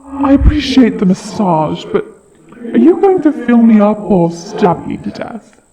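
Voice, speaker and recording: Man voicing a high-pitched, haughty, sardonic dragon. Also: expressive, a little slow, crowd noise, heavily acted, low-quality recording. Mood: dryly impatient. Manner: sarcastic, taunting, theatrical delivery. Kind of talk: audio roleplay acting. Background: fantasy game-style music.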